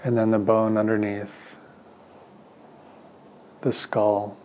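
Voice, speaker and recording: A man with a low voice guides a meditation in a calm, soothing manner. His delivery stays very flat and unhurried, leaving long pauses between short instructions. The recording sounds slightly dull and muffled. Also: a little hiss or hum. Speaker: meditation teacher and therapist.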